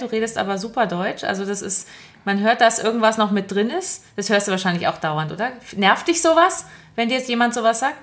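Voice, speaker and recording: A woman hosting a casual interview, asking curious, rambling questions with frequent restarts. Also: medium pitch. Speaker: host, interviewer.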